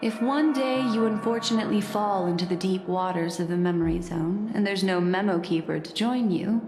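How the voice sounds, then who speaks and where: A woman's voice: medium pitch, natural voice. An actress as an enigmatic woman, voice echoing. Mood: playful, tender. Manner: measured, polite, softly playful. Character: enigmatic, composed, gently teasing woman who keeps memories. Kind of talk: video game cutscene acting. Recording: echoey reverberant voice under clear music.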